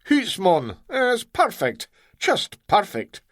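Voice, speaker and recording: A man's voice: high voice, huge pitch swings, slow, a bit bright, no room sound, clean studio sound, voice pushed up, heavily acted. A man voicing a feverish, cunning male ship's engineer with a broad Scottish accent. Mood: gruff. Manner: broad dialect, stubborn and sly.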